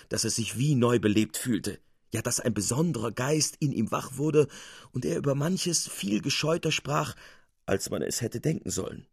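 A man narrates literary fiction in the style of an audiobook. His voice is mid-pitched, and the recording is dry with very little room sound. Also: slightly rough, no echo.

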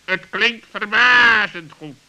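Sharp, piercing wise old-school bird. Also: male voice, high voice, full character voice, rough recording. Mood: impressed, approving. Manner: emphatic, drawn-out, pedantic. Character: clever, persuasive, wise male talking bird. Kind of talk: children's radio play acting.

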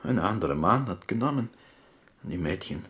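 An actor playing a proud, melancholy Greek man from Corfu in a radio drama, telling his tale in halting, broken German with a Greek accent. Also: deep voice, expressive, fairly bright tone. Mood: sorrowful.

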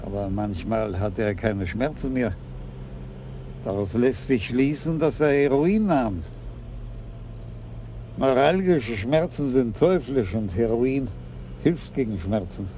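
An actor in a radio crime play, playing a shrewd older police chief who asks measured, probing questions. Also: male voice, mid-range voice, expressive, warm tone, slight background noise.

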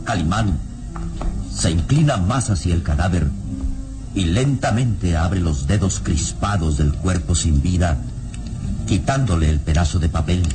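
A solemn storyteller narrates a radio adventure serial in a low voice. The phrasing is deliberate and dramatic, and prominent music plays loudly underneath. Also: male voice, animated. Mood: solemn, suspenseful.

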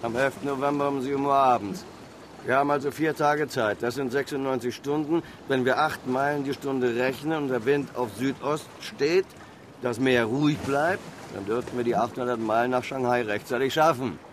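A gruff, practical harbour pilot, as a man plays him in a radio play. He talks bluntly and warily, with clear noise from the harbour and the water running behind him. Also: mid-range voice, moderate pace, natural voice. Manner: blunt, down-to-earth, wary.

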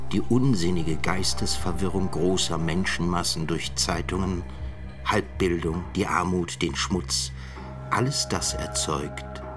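A scholarly author in a radio play, reading his essay in a low, grave voice. His delivery is formal and rhetorical, with prominent music behind. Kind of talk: literary reading in radio drama.

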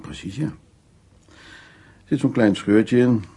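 An actor playing a worldly, self-assured, flirtatious middle-aged art restorer, speaking in short, familiar, unhurried phrases in a naturalistic radio drama. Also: male voice, mid-range voice, noisy background, close to an everyday voice. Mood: relaxed self-assurance.

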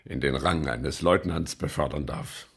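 An actor playing a formal, courtly police chief in a period radio drama. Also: male voice, extremely low pitch, unhurried, gravelly, fairly bright tone, slightly rough, heavily acted. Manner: courtly, official. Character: formal male police chief addressing his subordinate.

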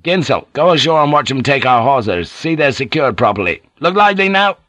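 A man voicing a commanding English sea captain who barks curt, sharp orders. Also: mid-range voice, lively intonation, fairly quick, dry recording, voice pushed up.

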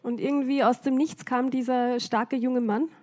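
A woman's voice: high-pitched, fairly even intonation, normal speed, darkish tone. A woman interpreting a church service live, phrase by phrase, with frequent hesitation fillers.

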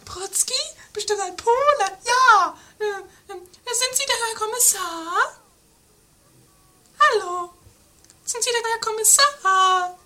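A woman's voice: very high-pitched, takes it slowly, sharp tone, exaggerated voice. A woman playing a self-important, hysterical actress in a comedic radio play, theatrical and agitated, with exasperated outbursts. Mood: insistent. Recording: noisy lo-fi 1980s radio play.

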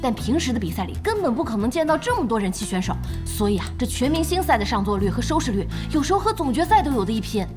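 An actress playing an excitable young female gaming fan in an audio drama, expressive and exclamatory. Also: fairly high pitch, fast, hardly any pauses. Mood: excited. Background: background music.

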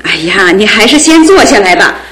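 A woman's voice: mid-range voice, sing-song, normal speed, a bit bright. An actress playing a caring middle-aged mother who fusses over her grown son in a radio drama. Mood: doting. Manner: doting, fussing, affectionate.

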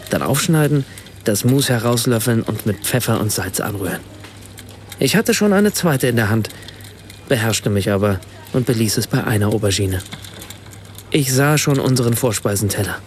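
A man's voice: mid-range voice, slight background noise, close to an everyday voice. An actor playing a reflective German writer and father, narrating his own everyday story in a scripted radio play. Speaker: first-person narrator.

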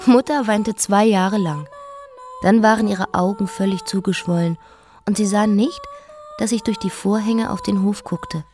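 A woman's voice: medium pitch, steady tone, a little slow, darkish tone, faint music behind, natural voice. An actress playing a defiant young queen who was raised as a boy, narrating her life in a radio play monologue. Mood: reflective.

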